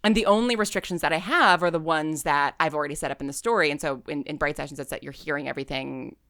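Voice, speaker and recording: Bright-voiced American woman, lowish pitch. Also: fast, dry recording, studio quality. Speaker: podcast guest, audio drama writer and creator. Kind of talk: casual interview conversation.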